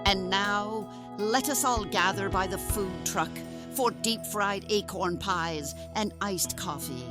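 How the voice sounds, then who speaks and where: A woman's voice: medium pitch, slow, natural voice. A woman playing a dignified ringmaster who leads a sect, formal and solemn, in an audio drama with music underneath. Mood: ceremonious.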